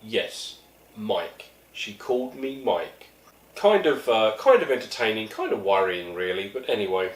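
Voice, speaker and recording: A man's voice: on the low side, sing-song, a little slow. A middle-aged man from East London, with an East London accent, telling wry, self-deprecating stories in a casual monologue. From East London, England. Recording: echoey room, mic at a distance.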